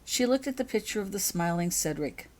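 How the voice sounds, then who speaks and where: A woman's voice: medium pitch, animated, a little fast, a little mellow. A woman author reading her own story aloud as scripted audiobook narration.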